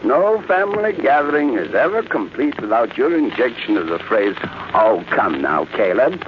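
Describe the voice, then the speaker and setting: A muffled, noisy vintage radio recording of a man playing a bitter old patriarch. He taunts his family with sardonic relish, his pitch rising and falling theatrically. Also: very animated, normal speed, natural voice. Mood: scornful. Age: older. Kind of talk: old-time radio drama acting.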